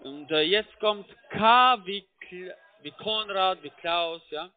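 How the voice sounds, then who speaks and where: A man with a distinctly high voice comes through a narrow, tinny phone line. He has a foreign accent in his German and works as a call center tech agent. He gives instructions piece by piece, tagging them with little check questions. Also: slow pace. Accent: foreign, non-native German. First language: not German. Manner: repetitive, keeps checking with tag questions.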